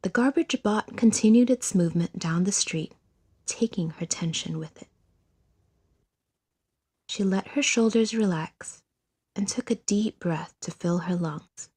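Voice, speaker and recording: A woman reading scripted fiction as an audio drama narrator, in a measured storytelling delivery. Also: medium pitch, a little mellow, husky.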